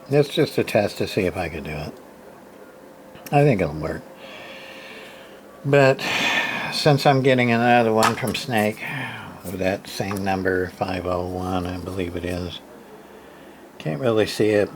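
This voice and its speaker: Folksy man explaining repairs, noisy recording. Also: mid-range voice. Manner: folksy, halting, thinking aloud. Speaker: hobbyist repairer demonstrating. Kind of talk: casual unscripted hands-on tutorial. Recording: steady background noise, room ambience.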